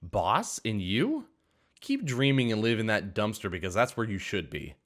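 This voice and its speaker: A stern young woman boss, read by a man, lecturing with a lively, rising and falling delivery. This is a storybook-style reading. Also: lowish voice, moderate pace. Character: young woman restaurant owner, firm and fed up.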